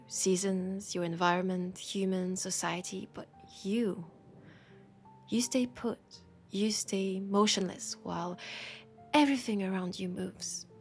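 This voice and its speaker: A woman with a French accent playing a teasing, curious bookshop keeper in an intimate audio roleplay, speaking softly and musingly and often slipping toward a whisper. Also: mid-range voice, close to an everyday voice. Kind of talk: intimate audio roleplay, soft acted monologue.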